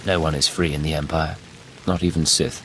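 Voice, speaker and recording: A man's voice: a bass voice, steady tone, a little fast, slight background noise, poor audio. A man playing a stern, controlled imperial intelligence agent in a video game. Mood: stern disapproval. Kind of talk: video game dialogue acting. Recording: music behind the voice.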